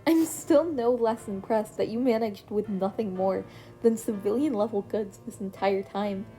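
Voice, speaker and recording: A playful woman teacher with a sly, amused way of talking, read by a woman. Her tone is mellow and sits at an everyday pitch. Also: moderate pace, faint music behind, close to an everyday voice. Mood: teasing. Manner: teasing, amused.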